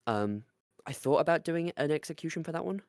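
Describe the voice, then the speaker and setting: A podcast co-host chatting casually in an animated, jokey way and swearing freely. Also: mid-range voice, brisk, no echo, studio quality. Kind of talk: casual chat.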